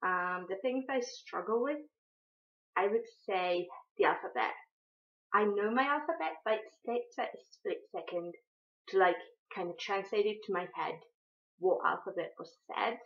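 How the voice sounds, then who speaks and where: A woman's voice: mid-range voice, normal speed, fairly bright tone. A young woman from Finland with a Finnish accent, speaking unscripted to camera, hesitant, with many ums and restarts. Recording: dull lo-fi sound.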